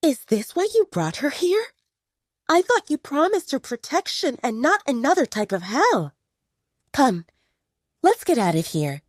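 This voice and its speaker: Woman acting female werewolf second-in-command, stern, protective, animated pitch, audio drama, very dry sound. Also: a little slow, no echo. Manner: firm, sarcastic.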